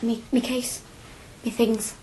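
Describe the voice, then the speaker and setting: A young working-class woman in a radio play. Her voice sits a little high. She is spirited and plain-spoken, pushing insistently and hungry to learn. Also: little pitch movement, slow, warm tone, a little hiss or hum, low-quality recording. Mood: upset, subdued. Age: young adult. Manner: colloquial, insistent. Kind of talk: radio drama acting.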